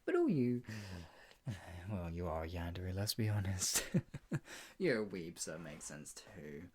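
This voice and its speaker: A performer playing a possessive, sadistic yandere captor in an improvised ASMR roleplay, mocking and menacing, with a breathy voice that often drops toward a whisper. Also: low voice, wildly swooping pitch, background noise, heavily acted. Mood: contemptuous, possessive. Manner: menacing, mocking, slow drawn-out taunts broken by laughs and sighs.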